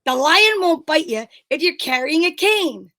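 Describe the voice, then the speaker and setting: Woman playing a bossy, high-voiced radio straight man. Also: expressive, unhurried, pushed and bright, heavily acted. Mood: bossy, goading. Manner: commanding, cajoling patter. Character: bossy, wheedling straight man Abbott, adult male comic. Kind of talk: old-time radio comedy sketch.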